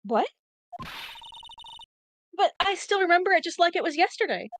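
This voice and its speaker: Woman as a high-pitched, earnest young witness. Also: sing-song, moderate pace, a little hiss or hum. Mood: startled. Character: young, earnest, eager female witness in a video game. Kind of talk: amateur live game fandub. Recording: loud music behind the voice.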